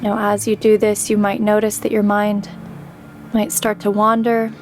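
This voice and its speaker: A woman leading a guided meditation with calm, gentle instruction and pauses between phrases. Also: medium pitch. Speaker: meditation guide, yoga teacher. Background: background music.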